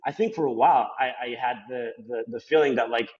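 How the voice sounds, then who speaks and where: Fast-talking young man with a Colombian accent. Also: medium pitch, a little mellow, breathy edge. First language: Spanish. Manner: rambling, heavy on "like" and "you know" fillers. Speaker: podcast guest. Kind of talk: casual interview talk. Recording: slightly dull lo-fi sound.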